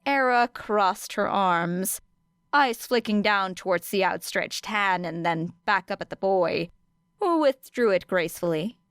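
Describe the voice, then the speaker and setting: A woman's voice: medium pitch, expressive, unhurried, a bit bright, clear, resonant tone, no echo. Female audiobook narrator, clean resonant voice, dry sound, scripted storytelling. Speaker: audiobook reader of fan fiction.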